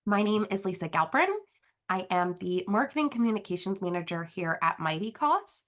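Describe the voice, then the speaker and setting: A woman's voice: medium pitch, expressive, moderate pace, a bit bright. Woman, slight cold, sniffly, webinar host, casual presenter, video call mic. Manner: casual and friendly, with frequent fillers. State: has a cold, a little sniffly. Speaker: webinar host, marketing manager.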